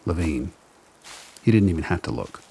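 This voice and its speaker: Male radio drama narrator, extremely low register, gravelly edge, faint noise behind. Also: very animated, moderate pace, mellow.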